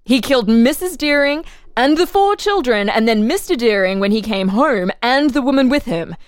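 A woman as a higher-voiced, probing interrogator. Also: expressive, normal speed, clean tone, dry recording, studio quality, lightly acted. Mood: insistent, formal. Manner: formal, probing, leading questions. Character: probing, insistent official interrogator questioning a murder suspect. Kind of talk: dramatic reading of an interrogation transcript.

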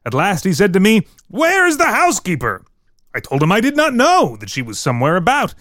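An actor playing a passionate, scheming young village rogue in an old-fashioned theatrical dramatic reading. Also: male voice, high voice, wildly swooping pitch, fairly quick, fairly bright tone, no echo, clean studio sound, heavily acted. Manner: theatrical, pleading and cursing in old-fashioned speech.

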